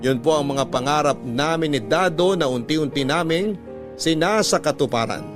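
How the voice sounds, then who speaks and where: A man's voice: on the high side, expressive, moderate pace. A man narrating as a radio host, reading scripted love stories and letters in a dramatic storytelling style. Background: background music.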